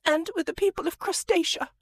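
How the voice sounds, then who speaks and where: A woman doing a shrill tearful genteel lady. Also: fairly even intonation, fairly quick. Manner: very high, quavering.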